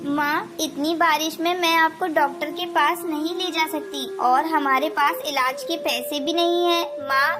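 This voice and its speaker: A woman's voice: high-pitched, steady tone, unhurried, hardly any pauses. A woman voicing a dutiful young daughter bird in a cartoon story, earnest and concerned. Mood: worried.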